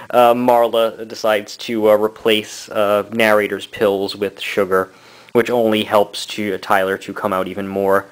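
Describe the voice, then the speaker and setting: A man in his thirties giving a casual, rambling review, halting often with fillers and short laughs. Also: on the low side. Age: 30s. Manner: laughs between thoughts. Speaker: book and comic reviewer.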